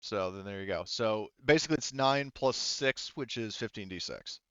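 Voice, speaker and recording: A man's voice: on the low side, animated, normal speed, sharp tone, clean tone, no echo, lo-fi sound. A man acting as game master in a tabletop roleplaying game, talking informally and thinking aloud with casual swearing. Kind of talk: running an online tabletop roleplaying game, rules talk.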